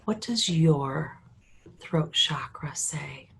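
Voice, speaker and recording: A woman leading a guided meditation in a calm, unhurried way, her voice drifting between a soft murmur and a whisper. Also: low voice, creaky, slightly rough.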